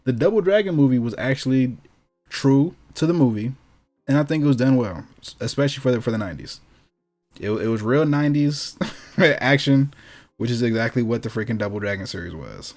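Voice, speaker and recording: A man's voice: mid-range voice, animated, brisk, a little mellow, faint music behind. A man talking casually as a co-host, slangy and opinionated, repeating phrases for emphasis. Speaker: gaming show co-host. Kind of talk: casual opinionated chat about video game movies.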